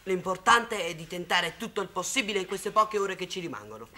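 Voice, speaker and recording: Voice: medium pitch, very animated, brisk, natural voice. A young performer playing a bossy, decisive teenage boy who leads a gang of children in a 1960s Italian television drama. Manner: decisive, organizing, instructing. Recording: noisy old 1960s TV soundtrack.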